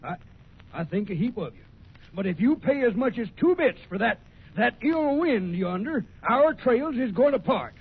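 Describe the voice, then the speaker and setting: High-pitched cantankerous old-west prospector. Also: male voice, very animated, normal speed, slightly rough, exaggerated voice. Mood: indignant. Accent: American. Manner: blustering, folksy rustic speech. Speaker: radio actor. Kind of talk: old-time radio western acting. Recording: muffled, noisy old radio recording.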